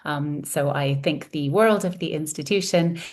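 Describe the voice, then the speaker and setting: Woman from Montreal, lowish voice, welcoming webinar host on a video call. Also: darkish tone. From Montreal, Canada. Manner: welcoming, measured, with fillers. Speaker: webinar host, college counseling director. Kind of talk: webinar hosting.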